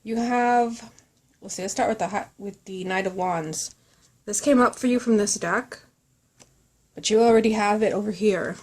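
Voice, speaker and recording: Woman tarot reader, mid pitch voice, talking straight to you, earnest and thoughtful, informal card reading. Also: normal speed.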